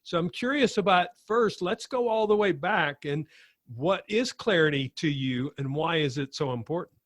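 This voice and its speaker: A man hosts an interview podcast, his voice on the higher side. He asks enthusiastic, loosely built questions, and the recording sounds close and dry. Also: expressive, moderate pace, dry recording. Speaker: podcast host. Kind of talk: conversational interview.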